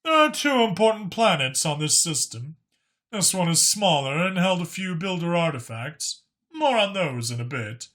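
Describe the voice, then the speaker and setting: A man's voice: fairly high pitch, very animated, moderate pace, a bit bright, pitched above the performer's own voice. A man voicing a talkative alien dragon informant who explains things knowingly and with emphasis in an audiobook story. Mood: explanatory, animated.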